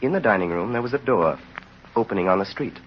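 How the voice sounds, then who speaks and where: A man narrating a 1930s radio drama in a grave, measured storytelling manner. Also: fairly low pitch, lively intonation, a little hiss or hum, close to an everyday voice. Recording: muffled old radio recording.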